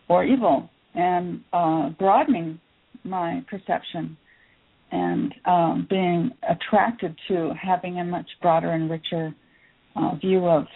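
A middle-aged woman psychologist who grew up in Taiwan, answering interview questions thoughtfully with many pauses and fillers. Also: lowish voice. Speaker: psychologist guest. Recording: tinny phone line.

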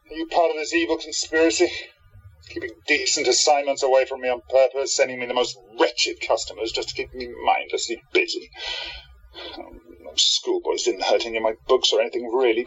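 A man playing an irritable, stubborn, grieving bookshop keeper in a radio play, husky and dramatically self-justifying. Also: mid-range voice, moderate pace, a bit bright, highs cut off. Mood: indignant.

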